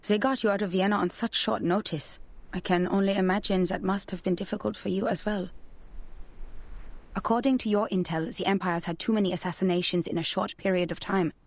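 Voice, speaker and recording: An actress playing a stern, serious female superior in a video game, speaking formally and gravely. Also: mid-range voice, fairly even intonation, fairly quick, dry recording, close to an everyday voice. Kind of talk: video game character acting.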